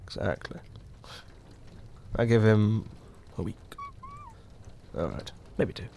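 Scornful undertaker: gravelly, indignant muttering with sharp swings in pitch, audio sitcom. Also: male voice, expressive, slow pace, a little hiss or hum, full character voice. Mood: seething. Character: bitter, scornful undertaker ranting to his pet mouse.

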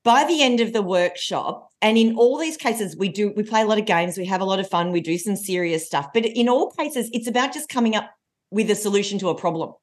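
Lively woman in her forties. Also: medium pitch, brisk. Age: 40s. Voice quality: loud voice. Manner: lively storyteller. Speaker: coach and trainer. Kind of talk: podcast interview.

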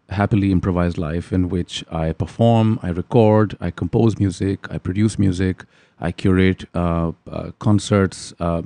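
A man, giving articulate, measured interview answers with many pauses. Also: low-pitched, gravelly, dark tone, no room sound. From Pakistan. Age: 30s. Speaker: guest.

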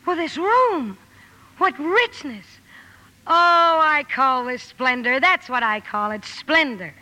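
A woman playing an exuberant, blunt, warm-hearted teenage tomboy in old radio drama style, brisk and full of exclamations. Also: high voice, expressive, in a large hall, full character voice, poor audio. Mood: exuberant, friendly. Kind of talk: 1940s radio drama acting. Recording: hissy old 1950 radio broadcast.

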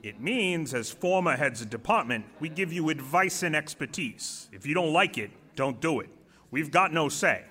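A man playing an assertive, quick-witted former manager in an audio drama, talking in a glib, persuasive, commanding way. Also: a little high, expressive, pushed and bright.

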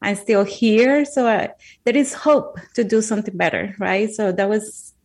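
Woman, Colombian Spanish accent, English as second language, earnest and emphatic, personal storytelling, medium pitch. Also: steady tone, moderate pace, a little mellow. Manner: earnest, emphatic, repeats words while thinking. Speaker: guest.

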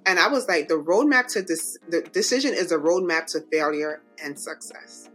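Jamaican woman host, bright animated delivery, faint music bed, laughs easily. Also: fairly low pitch, normal speed, slightly rough, poor audio. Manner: lively, laughs often, emphatic. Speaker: podcast host. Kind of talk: casual faith-filled chat.

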